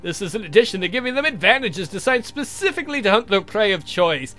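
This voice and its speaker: A man's voice: sits high, sing-song, quick pace, a bit bright, soft background music. A man voicing a suave, haughty vampire who lectures in a formal, condescending, theatrical way for a game. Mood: smug.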